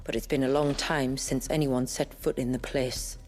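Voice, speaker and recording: An actress playing a grave village guide who keeps her people's history, speaking in a measured, solemn storytelling way in a fantasy game. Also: a bass voice, steady tone, a little mellow. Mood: guarded, purposeful. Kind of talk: dramatic fantasy game dialogue. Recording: music under the voice.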